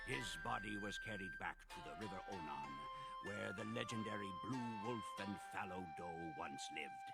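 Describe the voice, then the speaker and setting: A man playing a proud Mongol warrior who solemnly narrates his army's conquests for a game's cutscenes, in measured storytelling. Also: lowish voice, vocal fry. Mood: proud, reverent. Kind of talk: dramatic scripted historical narration for game cutscenes. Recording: loud music under the voice.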